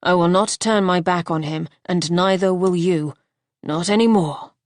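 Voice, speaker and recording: A woman voicing a gravely ill gentleman who speaks softly and tenderly in a lowered, hushed voice. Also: measured pace, no echo, studio quality, close to an everyday voice.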